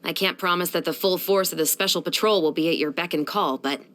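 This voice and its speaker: An actress performs a young female captain of a law enforcement patrol in a video game. Her voice sits a little low for a woman. She is stern and principled, delivering scripted lines with crisp, composed authority and earnest conviction. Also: fairly quick, fairly bright tone, natural voice. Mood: satisfied, confident. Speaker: video game character voice actor.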